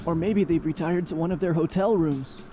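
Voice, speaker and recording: A man's voice: on the high side, moderate pace, close to an everyday voice. A man playing a nervous, mischievous teenage boy in an anime-style voiced game. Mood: nervous, scheming. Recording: music playing behind the voice.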